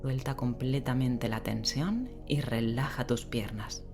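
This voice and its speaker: Female meditation guide, very deep register, gentle and unhurried, soothing bedtime delivery over prominent relaxing background sound. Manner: gentle, unhurried, with long pauses. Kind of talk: guided sleep meditation, slow soothing instruction. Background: relaxing rain sound and soft music.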